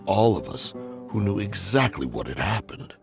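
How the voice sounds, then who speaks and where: A man playing a gruff, loyal desert brigand in a video game, solemn and aggrieved, with a raspy voice. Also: on the low side, very animated, normal speed. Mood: earnest, aggrieved. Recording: music behind the voice. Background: game music.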